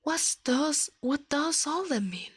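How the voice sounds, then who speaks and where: A woman voicing a kindly, wondering scholar from a storybook in a soft, breathy voice. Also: high voice, animated, slow, no echo, clean studio sound, pitched above the performer's own voice. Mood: astonished. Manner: earnest, gentle, wondering. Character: kindly, astonished learned man, older scholar.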